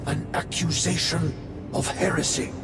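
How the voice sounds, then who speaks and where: A man's voice: on the high side, fairly even intonation, unhurried, close to an everyday voice. Stern accusing warrior chaplain, acted by a man. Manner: formal, severe. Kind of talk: sci-fi video game cutscene acting. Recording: loud music over dialogue.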